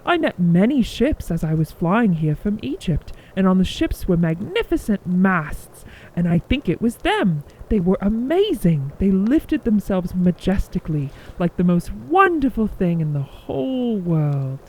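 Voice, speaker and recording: Woman as a mellow, musing storybook stork. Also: mid-range voice, very animated, measured pace, hardly any pauses, slight background noise, rough recording. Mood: musing, marvelling. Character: musing, knowledgeable stork, admiring world traveller. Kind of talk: children's storybook character voice.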